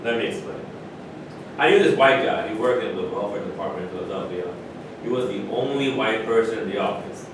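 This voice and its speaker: A man acts out an opinionated, wry basketball great in a stage play. He talks like a storyteller, pausing for emphasis. The sound is distant and very echoey, over rumbling noise. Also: lightly acted. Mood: explaining.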